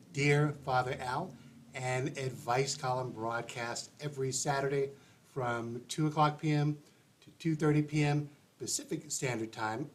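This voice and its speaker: A man hosting an advice show, sounding congested and stuffy-nosed, talking in a rambling, chatty way with restarts. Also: mid-range voice. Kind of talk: casual livestream monologue.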